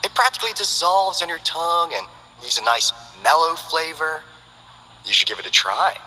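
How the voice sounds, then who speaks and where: An actor playing a smooth-talking, friendly male info broker, with teasing, salesman-like banter in game character voice lines. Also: fairly high pitch, animated, normal speed, pushed and bright, in a large hall, slight background noise, poor audio. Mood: breezy.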